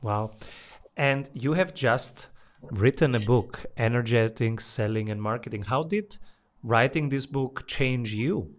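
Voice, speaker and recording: Guy hosting a business interview, talks slow, short encouraging replies. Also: mid-range voice. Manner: brief, encouraging responses. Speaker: podcast host and interviewer. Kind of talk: interview questions.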